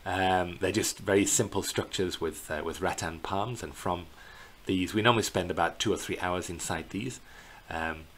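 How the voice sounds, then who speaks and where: A middle-aged man giving an unscripted slideshow narration as a tour guide, in a hesitant, halting way, recorded indoors on a computer microphone. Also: sits low, fairly bright tone. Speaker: bird tour guide presenting a virtual tour. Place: indoors in a small plain room. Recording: clear background noise.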